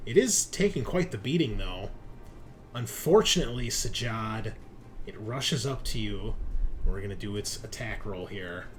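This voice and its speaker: A man's voice: on the low side, expressive, measured pace, slight background noise. A man running a tabletop roleplaying game as game master, talking casually and with enthusiasm, swearing now and then. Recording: music playing behind the voice. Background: music.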